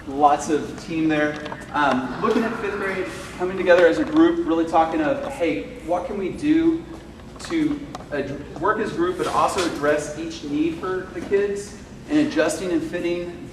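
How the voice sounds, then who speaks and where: A man who teaches fifth grade science, speaking loudly and informally to parents at a school meeting, with many fillers. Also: a little high. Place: school classroom or hall. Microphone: far camera microphone. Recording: echoey room, far from the mic, noisy.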